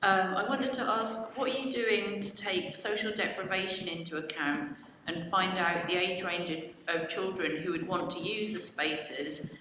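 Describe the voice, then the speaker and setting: Low-voiced woman in a very echoey hall. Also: moderate pace, pushed and bright, far from the mic. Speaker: councillor. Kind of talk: asking a question at a council meeting. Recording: very echoey hall, noisy, from off mic.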